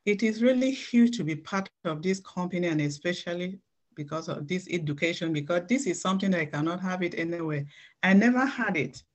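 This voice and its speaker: A woman's voice: on the low side, brisk. Earnest woman presenter. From Cameroon. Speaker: trainer and presenter. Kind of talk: personal testimony then training presentation.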